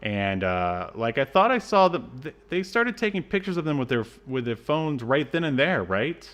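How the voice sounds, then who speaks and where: A man co-hosting a podcast, casually reviewing a film in a halting, wryly exasperated way. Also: mid-range voice, very animated, a bit bright. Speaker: podcast co-host.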